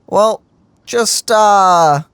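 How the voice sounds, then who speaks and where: A man's voice: high-pitched, extremely slow, fairly bright tone, clear, resonant tone, no echo. A man giving casual, wry and sarcastic let's play commentary as he games. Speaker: gaming channel host.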